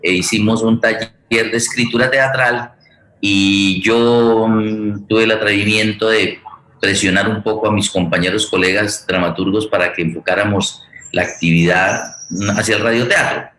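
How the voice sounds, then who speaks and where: Male Colombian host, very low register, halting conversational delivery, roomy echo. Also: steady tone, pushed and bright, slight background noise. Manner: halting, searching for words. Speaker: host, theatre director and playwright. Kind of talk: conversational, rambling forum hosting.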